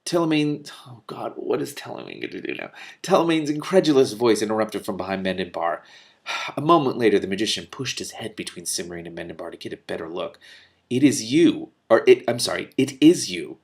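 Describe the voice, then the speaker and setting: A man reading a fantasy novel aloud, raising his voice for the characters' lines and breaking off for quick, lively comments and laughs. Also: gravelly. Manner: animated, laughs and swears in asides, quick mutters between passages; rises in pitch and volume for character lines. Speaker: reader and commentator.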